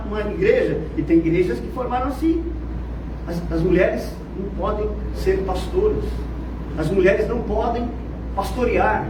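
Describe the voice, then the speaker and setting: A Brazilian man with a Brazilian Portuguese accent preaching a fervent sermon, dropping to low murmurs and rising to shouts. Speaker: pastor. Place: church. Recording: echoey, distant, noisy church hall.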